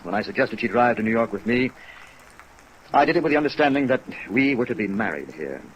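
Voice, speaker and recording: An actor playing a polite, evasive company president in a 1950s radio drama, speaking formally and hesitantly with a rueful air. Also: male voice, medium pitch, a little fast, lo-fi sound, close to an everyday voice. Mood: formal, apologetic. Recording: vintage radio recording under rain noise. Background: steady rain sounds.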